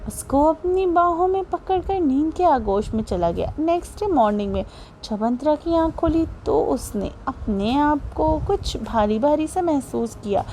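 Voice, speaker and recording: A woman reads a romance audiobook aloud in a dramatic, scripted storytelling voice. Her voice is high, with a dark, mellow tone. A faint hiss sits under it. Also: expressive, unhurried. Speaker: audiobook narrator.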